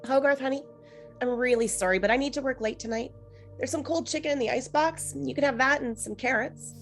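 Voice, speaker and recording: A woman's voice: high voice, a little fast, soft background music. A woman playing an affectionate but firm working mother of a young boy in a screenplay table read. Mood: loving, firm. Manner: tender, gently bossy.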